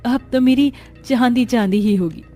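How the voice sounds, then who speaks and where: A woman acts out an excitable young bride from a cartoon tale. She sounds hopeful and eager, gushing in a high voice over a music bed. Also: moderate pace, natural voice. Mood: awed, delighted.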